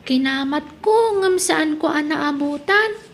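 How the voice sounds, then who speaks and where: A very high-voiced innocent little child. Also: female voice, expressive, slow pace, exaggerated voice. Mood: earnest, questioning. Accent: Ilocano. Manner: childlike, questioning. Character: innocent young child longing for absent father. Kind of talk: Ilocano radio drama acting.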